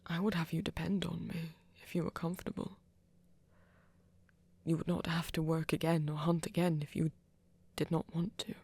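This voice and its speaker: A woman playing a flirty, tender vampire in an intimate ASMR romance, speaking gently and haltingly in a breathy half-whisper. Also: low-pitched, flat delivery. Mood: tender, hesitant. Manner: halting, gentle, careful phrasing. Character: flirty, tender vampire shyly confessing love to a hunter.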